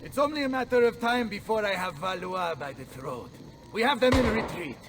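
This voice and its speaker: An actor playing a blustering, hot-tempered Italian mercenary general in a video game, with an Italian accent and a bombastic, theatrical manner. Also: male voice, sits high, animated, brisk, fairly bright tone, distant mic, slight background noise. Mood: brisk, confident. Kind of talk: dramatic video game acting.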